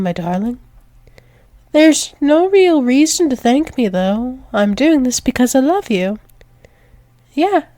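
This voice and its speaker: A woman's voice: sits high, warm tone, dry recording. A woman playing a tender, possessive alpha werewolf demon lover in an intimate ASMR roleplay, soothing and repetitive with small hesitant stumbles. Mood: reassuring, affectionate. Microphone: close microphone, dry.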